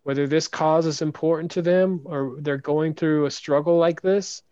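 A man hosting a podcast interview, talking in a soft, hesitant way with many restarts. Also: a little high, steady tone, moderate pace, smooth, no echo, muffled recording. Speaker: podcast host. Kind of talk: casual interview chat.

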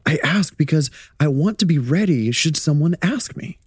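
A man's voice: a little high, lively intonation, normal speed, slightly rough, no echo, clean studio sound. A man playing an earnest, inquisitive student of scripture in a radio drama, questioning carefully. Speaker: actor in an audio drama.

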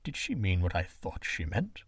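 A gentle older shepherd father, voiced by a male storyteller, speaks softly in a lowered, measured tone. His pitch still moves expressively, and the recording is dry and close. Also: wildly swooping pitch, creaky, slightly rough, no echo, full character voice. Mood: grave.

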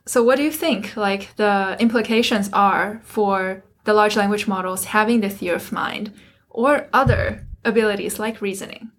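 A woman's voice: mid-range voice, moderate pace. A woman hosting an interview in a casual, conversational style. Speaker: interview host.

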